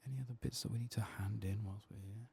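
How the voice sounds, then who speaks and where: A man speaking softly and often whispering in a hushed, murmuring way as he hosts an ASMR game playthrough. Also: fairly low pitch, steady tone, fast, vocal fry, slight background noise. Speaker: host of a gameplay ASMR series. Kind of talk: soft-spoken and whispered ASMR game playthrough, reading quest text aloud.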